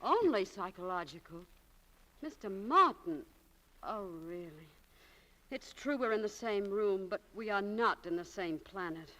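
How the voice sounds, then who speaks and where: An American actress playing a proud, romantic, high-strung young shopgirl in a 1940s radio drama, with a dramatic, lofty manner. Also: lively intonation, a little slow, pushed and bright, poor audio. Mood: haughty.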